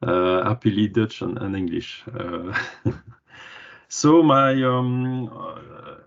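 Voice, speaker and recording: A man's voice: low-pitched, lively intonation, extremely slow, vocal fry, highs cut off. A native French speaker in his forties from Belgium, a man with a Belgian French accent, presenting informally and hesitantly. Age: 40s. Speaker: guest presenter at a career webinar. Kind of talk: informal self-introduction presentation.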